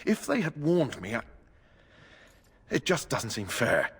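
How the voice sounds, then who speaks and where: A man's voice: mid-range voice, big pitch swings, a little slow, husky, exaggerated voice. A fretful knight recruit whose voice rises and falls sharply as he complains. His lines ring with a long reverb tail in the game audio. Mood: worried. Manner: worried, complaining. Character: anxious male knight recruit, expectant father.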